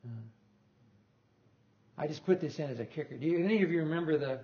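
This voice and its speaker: A man's voice: on the high side, quick pace, mellow, highs cut off. An older man giving an informal technical talk in a lecture room, rambling and correcting himself with small chuckles. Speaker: guest lecturer on loudspeaker design.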